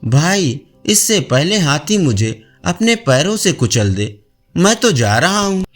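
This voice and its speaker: A man's voice: fairly high pitch, very animated, slow, faint music behind, heavily acted. A man playing a greedy, gullible storybook snake. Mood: self-serving. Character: gullible greedy male snake, self-serving and cowardly.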